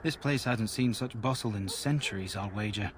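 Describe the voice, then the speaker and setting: An actor playing a helpful, chatty camp guard in a fantasy game, with courteous, old-fashioned phrasing. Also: male voice, on the low side, normal speed, a little hiss or hum, natural voice. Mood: friendly, helpful. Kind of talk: fantasy video game voice acting.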